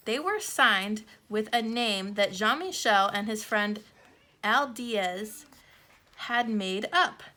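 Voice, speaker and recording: A woman narrating a children's picture book, reading the scripted text in measured phrases with deliberate pauses. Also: a little high, bright, forceful tone.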